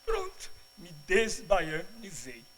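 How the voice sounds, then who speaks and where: A middle-aged man from Bahia, Brazil, giving a spiritual lecture at a congress, mixing humorous asides with measured, didactic explanation. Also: high voice, huge pitch swings, a bit bright, low-quality recording. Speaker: congress speaker. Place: congress auditorium.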